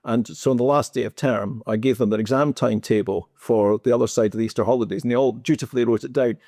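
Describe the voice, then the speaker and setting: A man's voice: mid-range voice, quick pace, no room sound, studio quality. A man with a Scottish accent co-hosting a podcast, telling wry stories from his teaching days in a casual chat. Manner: animated, wry, teasing. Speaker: podcast co-host, former teacher.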